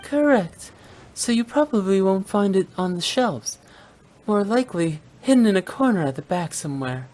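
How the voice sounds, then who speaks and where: A woman's voice: mid-range voice, animated, moderate pace, a little mellow, natural voice. An actress playing a playful, knowing, mock-formal young female funeral director in a video game. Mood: teasing. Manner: theatrical, teasing, mock-formal.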